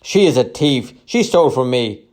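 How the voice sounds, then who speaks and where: A man voicing an outraged market trader, barking loud, indignant accusations in a dramatized story reading. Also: mid-range voice, very animated, moderate pace, voice pushed up, lightly acted.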